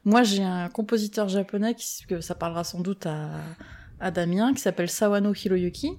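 A woman with a medium-pitched voice talks as a podcast guest. She is casual and enthusiastic, as if among friends. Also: a little fast, darkish tone.

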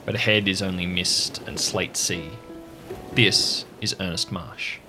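A man narrating a tabletop role-playing story as game master, measured and atmospheric, with music underneath. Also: deep voice, fairly even intonation, bright, forceful tone, rough recording.

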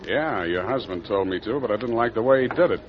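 An actor playing a wry, hard-boiled private detective, wisecracking in 1940s radio noir style. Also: male voice, fairly low pitch, a little fast, lightly acted. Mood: sardonic. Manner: deadpan, wisecracking. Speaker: narrator and lead. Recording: dull old radio recording, music bed.

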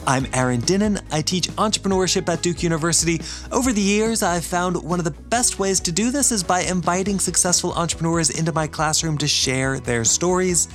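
A man hosting a podcast, giving a scripted introduction and ad read in a polished, measured way. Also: sits high, fairly bright tone, faint music behind. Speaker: podcast host, university teacher.

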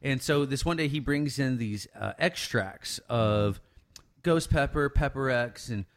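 A man in his thirties spins a long, rambling story, swearing as he goes. His voice sits at a middling pitch for a man. He talks loosely, with a lot of filler, in a relaxed podcast chat. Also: measured pace. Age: 30s. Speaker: podcast co-host. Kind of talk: casual chat.

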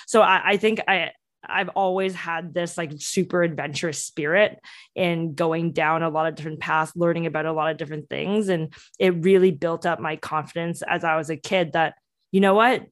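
A woman's voice: on the low side, no echo, studio quality. A young woman in her twenties whose first language is Cantonese, telling her story eagerly in a podcast interview with many restarts. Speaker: entrepreneur guest.

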